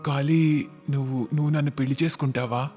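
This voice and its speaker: A man's voice: medium pitch, steady tone, a little fast, darkish tone, lightly acted. An actor playing a proud young businessman in a cartoon story, speaking in an emphatic, dramatic way. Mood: grateful, tender. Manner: emphatic, dramatic, repeats words. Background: background music.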